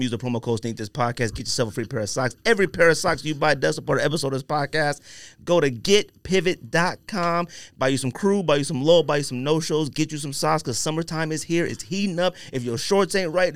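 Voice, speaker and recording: A man hosting a casual sneaker podcast, talking in slangy, animated riffs and teasing his co-hosts. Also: fairly high pitch, no echo, clean studio sound. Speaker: podcast host.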